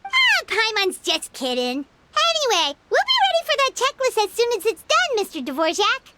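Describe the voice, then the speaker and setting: A woman voicing a small, chatty, cheeky fairy companion in a game, squeaky and childlike, speaking of herself in the third person. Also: wildly swooping pitch, moderate pace, heavy background noise, heavily acted. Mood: chatty, curious. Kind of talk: animated game dialogue.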